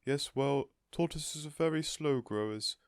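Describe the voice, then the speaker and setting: A man playing a shy, lovestruck gentleman who speaks politely and earnestly in a storybook reading. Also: a little high, unhurried, creaky, smooth, no room sound, clean studio sound, voice pushed up. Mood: solemn. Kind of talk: storybook character voice in audiobook reading.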